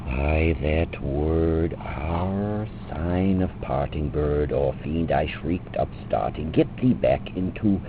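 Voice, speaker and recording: A man with a gravelly voice gives a theatrical, drawn-out reading of a dark narrative poem, with long pauses. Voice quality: gravelly, very deep. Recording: muffled lo-fi recording with loud background noise.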